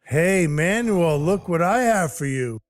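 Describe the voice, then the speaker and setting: A man playing a cynical, hard-bitten Icelandic dwarf who works for Santa, narrating an audio drama in a wry, deadpan, formal way. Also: on the high side, unhurried. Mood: coaxing. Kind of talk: noir-like first-person audio drama narration and dialogue. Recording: music behind the voice.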